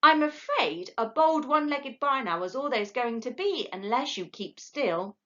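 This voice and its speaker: A woman reading a sweet gentle young lady. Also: high-pitched, lively intonation, measured pace, muffled recording, pitched above the performer's own voice. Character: kind young woman with a sketchbook.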